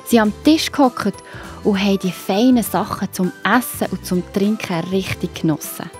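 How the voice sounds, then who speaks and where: Children's audio play narrator: woman, Swiss German accent, soft mellow tone, scripted storytelling over music. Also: mid-range voice, moderate pace.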